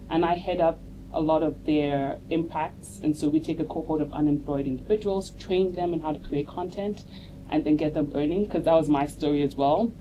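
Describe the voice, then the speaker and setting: Low-voiced woman from Nigeria, storytelling. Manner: warm storytelling, relaxed and personal. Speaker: content creator and panelist. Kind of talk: panel self-introduction. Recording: clear background noise on a live panel mic.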